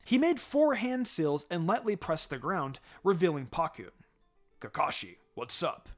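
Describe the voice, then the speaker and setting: A man narrates fiction in an expressive reading style. His intonation moves up and down in a lively way, and music plays behind him. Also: sits high, normal speed. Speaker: story narrator. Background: background music.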